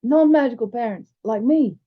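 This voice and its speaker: A woman's voice: on the high side, moderate pace, pitched above the performer's own voice, natural voice. A woman voicing a big, studious young boy in a storybook, serious and eager to learn. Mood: earnest, curious. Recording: slightly dull, lo-fi sound.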